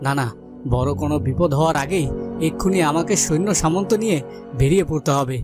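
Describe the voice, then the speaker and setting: A man's voice: on the high side, fast talker, close to an everyday voice. A man playing a worried, brooding king in a fairy-tale cartoon, with dramatic, self-questioning delivery. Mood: alarmed. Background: music.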